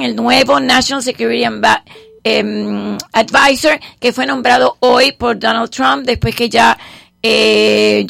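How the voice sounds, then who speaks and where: A woman hosting a radio show, interviewing with brisk, quick follow-up questions. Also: on the high side, vocal fry, a bit bright, no room sound, clean studio sound. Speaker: radio host.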